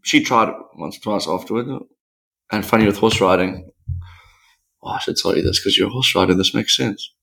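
Low-voiced man, animated. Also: moderate pace, vocal fry, poor audio. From South Africa. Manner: animated, rambling storyteller with acted-out quotes. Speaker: podcast guest, professional surfer. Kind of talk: candid interview conversation.

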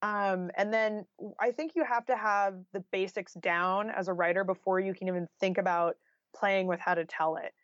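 A woman's voice: medium pitch, fairly even intonation, normal speed, dry recording. A woman with a mid-range voice talks as a guest writer in an interview. She explains things in an informal, conversational way, dropping in "like" and "you know". The sound is dry and a little lo-fi. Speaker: guest author and journalist.

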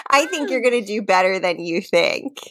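A woman co-hosting a comedy podcast in casual chat, playful and teasing, quick to laugh. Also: mid-range voice, expressive, heavy background noise. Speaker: podcast co-host.

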